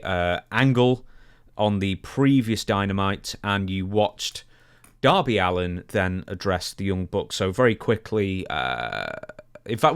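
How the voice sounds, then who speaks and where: A man in his thirties with a moderately low voice hosts a casual talk podcast. He speaks conversationally into a close broadcast microphone, moving between news and questions for his co-host. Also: clean tone. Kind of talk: casual chat, wrestling news discussion. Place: small home studio indoors. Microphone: broadcast mic on a boom arm, close.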